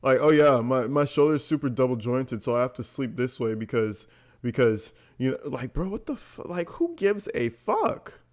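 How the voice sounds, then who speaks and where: A man hosts a casual reaction segment in an ordinary mid-pitched voice. He talks in a loose, conversational way, swearing often and sounding mocking and incredulous as he comments. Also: big pitch swings, normal speed. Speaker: host reacting to recorded calls.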